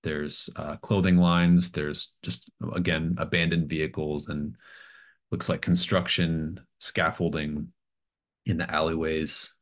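A man's voice: extremely low pitch, flat delivery, takes it slowly. A middle-aged man running a tabletop game as game master, narrating scenes off the cuff and searching for words. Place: home room on a video call.

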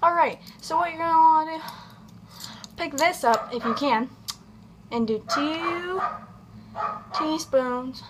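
High-pitched young woman, goofy and unhurried. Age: young adult. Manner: goofy, playful asides and drawn-out words. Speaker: host of a home cooking video. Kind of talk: casual comedic cooking tutorial. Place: home kitchen, indoors. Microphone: camera on counter at about arm's length. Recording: background kitchen noise, clicks.

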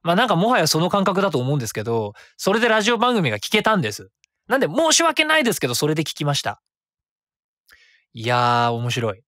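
A man's voice: fairly high pitch, dry recording, studio quality. A man in his thirties hosting a solo web radio show, rambling casually and correcting himself as he goes. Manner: rambling, self-correcting, quick and animated.

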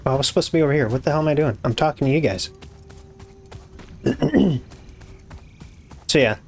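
A hoarse young man with a raspy voice, casually commenting on his game as he builds, muttering to himself and swearing when things go wrong. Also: a little high, lively intonation, moderate pace, soft background music. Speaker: gaming YouTuber. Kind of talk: casual let's-play commentary.

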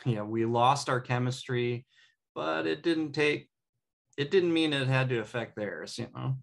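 A man's voice: mid-range voice, a little slow, creaky. A man playing a sheepish, easygoing best friend in a sitcom table read, hesitant and joking. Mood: evasive. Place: dim indoor room at home on video call. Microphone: video call.

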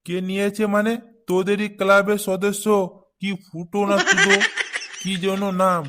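Man acting a middle-aged cartoon uncle, high monotone voice, blunt, cursing, hot-tempered. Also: normal speed, heavy background noise, lightly acted. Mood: angry. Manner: blunt, scolding, full of curses. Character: irate foul-mouthed neighbourhood uncle, quick to threaten. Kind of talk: comedy cartoon dialogue.

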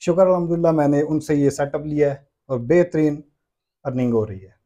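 A man's voice: a little high, brisk, warm tone. A man in his thirties with a Pakistani accent, answering interview questions as a small business owner. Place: small brick-walled workshop room indoors.

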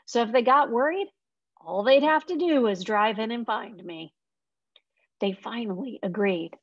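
A woman's voice: medium pitch, dry recording. A young adult woman reading a children's novel aloud, expressively, into a webcam at home. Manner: expressive reading in a young girl's first-person voice. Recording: dry, slightly muffled lo-fi sound.

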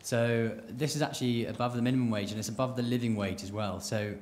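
A man's voice: mid-range voice, steady tone, quick pace, darkish tone, slight background noise. A young male student in a lecture hall audience, asking a question into a handheld mic, hesitant and full of fillers. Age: young adult.

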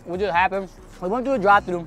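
A young man hosting a casual vlog, loud and teasing, often laughing, recorded outdoors and in a store on a handheld camera mic. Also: high voice, racing. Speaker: vlogger host. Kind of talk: casual joking vlog chat. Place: outdoors by a drive-thru and in a sports store.

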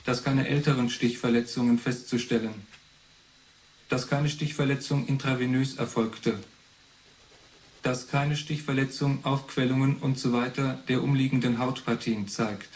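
An actor playing an impersonal official who reads forensic reports in a clipped, bureaucratic way for a 1980s German radio drama. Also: male voice, mid-range voice, steady tone, normal speed, natural voice. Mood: detached.

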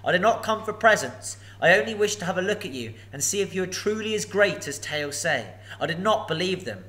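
A man voicing a small, clever male hobbit thief, courteous and flattering. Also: high voice, a little fast, voice pushed up.

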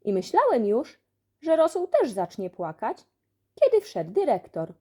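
A woman narrating a children's audiobook expressively, telling the story in an animated way. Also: a little high, a little slow. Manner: animated storytelling, acts out dialogue. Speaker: audiobook reader.

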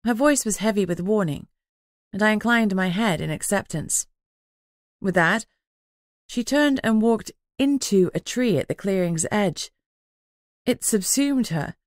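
A woman's voice: medium pitch, expressive, measured pace, pauses often, no room sound, studio quality. A woman reading scripted first-person fantasy fiction as an audiobook narrator.

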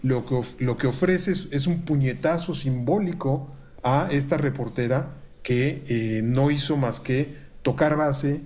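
A middle-aged Mexican man, a university researcher, giving measured, formal analysis from a room at home over a video call, with frequent filler pauses. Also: medium pitch, darkish tone. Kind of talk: analytical commentary. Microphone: video call webcam.

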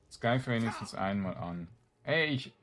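A man's voice: fairly low pitch, lively intonation, normal speed, a bit bright. A man giving casual let's-play commentary, grumbling in exasperation and muttering to himself as he plays. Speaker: video game streamer.

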